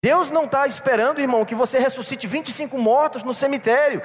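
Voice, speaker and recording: A man's voice: extremely high pitch, fast. A man preaching a sermon to a church congregation, telling a personal story and calling them brothers. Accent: non-local Brazilian. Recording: long reverb in a church hall.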